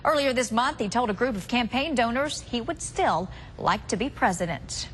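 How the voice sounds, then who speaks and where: Female morning news anchor, raised pitch, scripted read, faint noise behind her. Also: expressive, normal speed, fairly bright tone.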